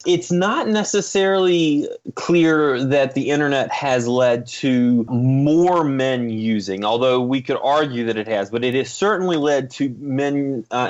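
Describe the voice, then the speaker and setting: Man explaining, slightly dull audio. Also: mid-range voice. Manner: measured, careful qualifying. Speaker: interview guest, psychology professor and researcher. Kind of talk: explanatory interview talk.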